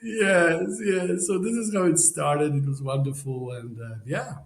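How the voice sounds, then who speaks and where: Polish-accented man, gravelly and roomy. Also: a little high, unhurried. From Poland. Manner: rambling, many "you know" fillers, non-native phrasing. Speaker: podcast guest. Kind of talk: casual storytelling.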